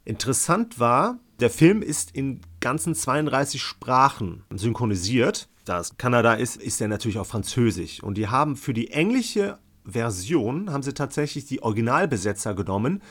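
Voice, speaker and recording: A man's voice: mid-range voice, sing-song, normal speed, hardly any pauses, a bit bright. A man giving a casual, colloquial film review as a podcast co-host.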